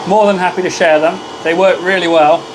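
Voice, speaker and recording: Loud-voiced man chatting on a live stream. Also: high voice, steady tone, a little fast, noisy background. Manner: chatty, thanks viewers by name. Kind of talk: casual live stream chat with viewers.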